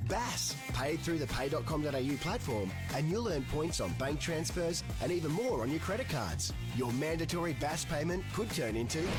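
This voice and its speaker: A man voicing a playful scripted radio advertisement. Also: fairly high pitch. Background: music.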